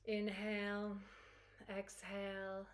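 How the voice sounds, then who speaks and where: Croaky-voiced lady leading a quick yoga session, chatty and encouraging. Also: mid-range voice, completely flat delivery. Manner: chatty and encouraging, laughs easily. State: croaky, recovering from lost voice. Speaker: Kundalini yoga teacher. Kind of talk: guided yoga class instruction.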